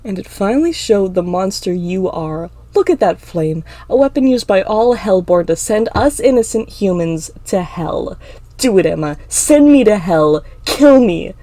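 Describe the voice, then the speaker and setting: A woman's voice: mid-range voice, animated, a little slow, warm tone, no room sound. A woman voicing a cruel, hateful male student in a fantasy story, taunting and sneering. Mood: goading, hateful. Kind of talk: dramatic fantasy audiobook acting.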